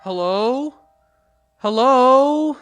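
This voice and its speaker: An actor playing an eerie male hitchhiker in a radio drama, hailing in drawn-out calls. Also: very high-pitched, expressive, a bit bright, faint music behind, heavily acted. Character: eerie, drab male hitchhiker calling from roadside.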